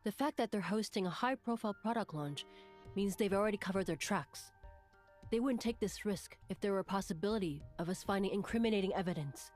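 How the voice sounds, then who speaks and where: A woman voicing a serious, authoritative special-operations captain in a measured, matter-of-fact way. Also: medium pitch, darkish tone, faint music behind, pitched below the performer's own voice, lightly acted.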